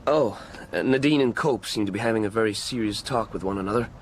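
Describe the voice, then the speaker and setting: A man's voice: fairly low pitch, quick pace, close to an everyday voice. Earnest, hesitant young man played by an actor. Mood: tentative. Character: anxious, earnest young man proving his courage. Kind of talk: radio drama acting. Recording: faint noisy ambience behind dialogue.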